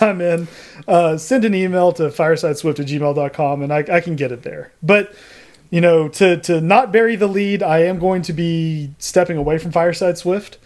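A man with a somewhat higher voice hosts a casual podcast. He speaks haltingly and laughs between phrases. His tone is relaxed and conversational. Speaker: podcast host.

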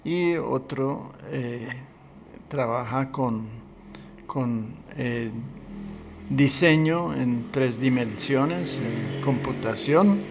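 An older man, sixties or above, telling his story as a pastor and interview guest in a measured, deliberate way with frequent pauses. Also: mid-range voice, music in the background. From Colombia. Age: 60s or older.

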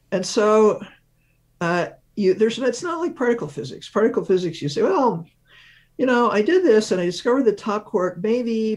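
A man being interviewed as a research physicist, explaining ideas through examples with thoughtful pauses. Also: high-pitched, a little mellow.

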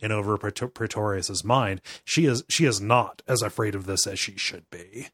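Lower-voiced man, chatty podcast delivery. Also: normal speed, a bit bright, slightly rough, no room sound, studio quality. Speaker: podcast co-host. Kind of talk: casual film discussion with retelling.

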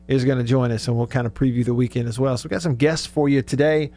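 A man's voice: mid-range voice, steady tone, fast talker, warm tone. A man hosting sports radio from a studio in a folksy, rambling, chatty way. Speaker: sports radio show host. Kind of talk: casual chat.

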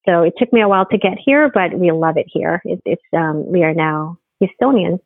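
Woman, middle-aged, from the Philippines, podcast guest, halting, lowish voice. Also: no echo. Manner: halting, many fillers and restarts. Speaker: guest, firm CEO. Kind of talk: podcast interview. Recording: tinny phone-like line.

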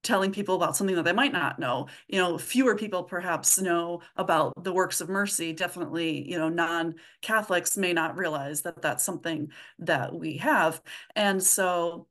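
Slightly low-voiced woman, chatting informally. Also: normal speed, fairly bright tone. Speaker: podcast guest, comedy audio drama writer. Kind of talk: casual interview chat.

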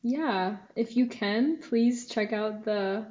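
A woman's voice: medium pitch, slow pace, warm tone, muffled recording. A young woman speaking as a podcast guest, thoughtful and earnest, with hesitant fillers. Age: young adult. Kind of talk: casual podcast interview.

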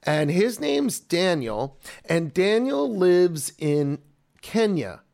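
A man's voice: sits high, very animated, slow pace, vocal fry. A middle-aged man hosting a children's radio show, chatting casually and enthusiastically as he introduces songs. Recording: talk over loud background music. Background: loud music.

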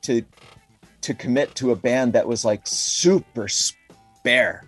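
A man's voice: medium pitch, takes it slowly, a bit bright, breathy edge, faint music behind. A middle-aged or older man speaking casually and reflectively as an interview guest, rambling with enthusiasm and peppering his talk with "you know" and "like". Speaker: musician being interviewed. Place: calling in remotely to a podcast.

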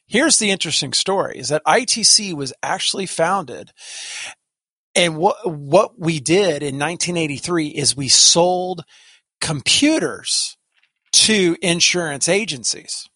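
A man's voice: a little high, animated, measured pace, bright, forceful tone, no echo. A man hosting a casual podcast chat, rambling and restarting his sentences often. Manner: rambling, self-interrupting, many restarts. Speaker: podcast host, software company executive.

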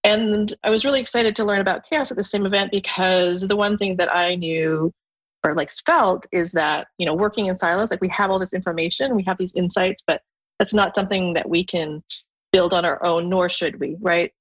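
A woman's voice: lowish voice, fairly even intonation, moderate pace, breathy edge, muffled recording. Canadian woman, thoughtful podcast guest. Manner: thoughtful, with frequent restarts and fillers. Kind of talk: conversational interview.

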